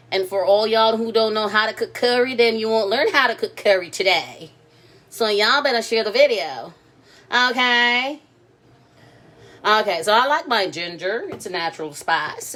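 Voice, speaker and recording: A woman's voice: medium pitch, fairly bright tone. A woman hosting a casual cooking livestream from her home kitchen, chatting playfully with her viewers. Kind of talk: casual live cooking chat.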